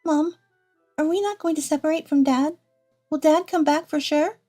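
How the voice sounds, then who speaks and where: A woman's voice: high-pitched, steady tone, normal speed, warm tone, soft background music, pitched above the performer's own voice, lightly acted. A woman voicing an anxious nine-year-old girl who pleads with childlike questions in a narrated story. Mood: anxious, pleading.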